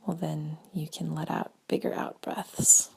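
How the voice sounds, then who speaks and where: A woman leading a guided meditation in a gentle, spaced-out way, her soft breathy voice often trailing toward a whisper. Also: low voice, flat delivery. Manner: slow, gentle, spaced-out phrasing with long pauses.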